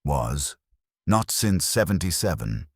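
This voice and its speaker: Man acting old male retired councillor, very deep voice, weary, guarded, evasive, halting terse phrases, detective audiobook, dry sound. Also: sing-song, gravelly, slightly rough, no echo, exaggerated voice. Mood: guarded, rueful. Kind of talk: audiobook detective mystery character reading.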